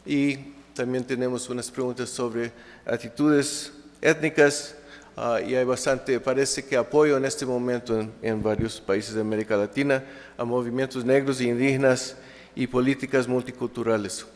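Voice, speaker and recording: A man of middle pitch gives a conference presentation in a second language. He hesitates often and fills pauses with drawn-out sounds, restarting his sentences. His voice carries the long reverb of a lecture hall. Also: fairly even intonation. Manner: hesitant, many fillers and restarts. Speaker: conference speaker. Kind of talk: academic presentation.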